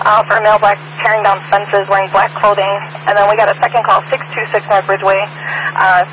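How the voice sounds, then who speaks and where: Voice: mid-range voice, little pitch movement, fast, background noise. A police dispatcher relaying calls over a scanner radio in a procedural way, repeating addresses and numbers. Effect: police radio transmission.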